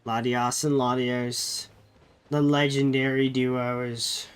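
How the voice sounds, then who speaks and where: A man's voice: medium pitch, level tone, slow pace, clean tone. A man streaming a game while sick with a cold, sounding nasal and chatting loosely with viewers, often correcting himself. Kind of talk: casual live-stream chat.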